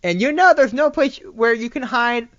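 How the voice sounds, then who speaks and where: A reader voicing a domineering pony princess who yells threats at her prey in a loud, forceful way. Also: medium pitch, expressive, moderate pace, no room sound, dull audio, pitched above the performer's own voice, natural voice. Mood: screeching threats.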